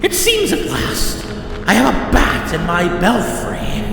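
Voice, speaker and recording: A man's voice: high-pitched, huge pitch swings, slow pace, creaky, reverberant, big-room sound, over music, pitched above the performer's own voice, exaggerated voice. A man voicing a gleeful, sly male villain clown in an audio drama, with a mocking, swooping delivery.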